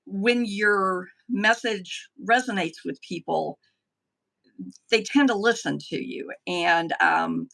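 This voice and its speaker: A middle-aged woman from the southern United States with a softened Tennessee accent, chatting easily as a guest on a live stream interview. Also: mid-range voice, slow, gravelly, rough recording. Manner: chatty, with frequent ums and restarts. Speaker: political candidate, guest. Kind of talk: casual interview answers.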